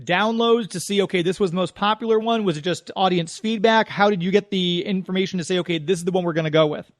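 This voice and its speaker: A man's voice: high voice, quick pace, no room sound, studio quality. A man hosting a radio show, interviewing a guest in a conversational way.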